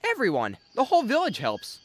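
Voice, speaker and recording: A man's voice: very high voice, wildly swooping pitch, a little fast, a bit bright, exaggerated voice. A man playing a carefree young male dragon in a fantasy dub, a friendly, enthusiastic big brother. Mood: breezy, reassuring. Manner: breezy, enthusiastic. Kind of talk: dubbed fantasy drama acting.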